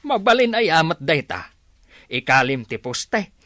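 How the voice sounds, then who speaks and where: A man's voice: on the high side, sing-song, measured pace, sharp tone, dry recording, heavily acted. A man playing an exasperated older village father in a comedy radio drama, nagging emphatically. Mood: scolding, skeptical. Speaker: actor.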